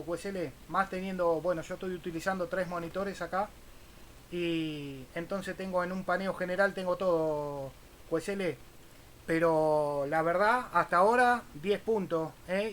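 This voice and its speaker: An Argentine man with a high voice chats casually as an amateur radio operator. He talks in radio-operator jargon, with faint noise in the recording. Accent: Argentine Spanish. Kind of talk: casual ham radio exchange with spelled-out call signs.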